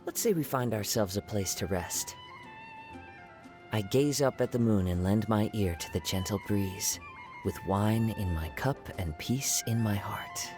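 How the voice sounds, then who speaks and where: A man playing a gentle young wandering samurai poet in a video game, soft-spoken, measured and reflective, with a calm, wistful air. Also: lowish voice, soft background music, close to an everyday voice. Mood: serene, reflective. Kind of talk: video game character voice lines.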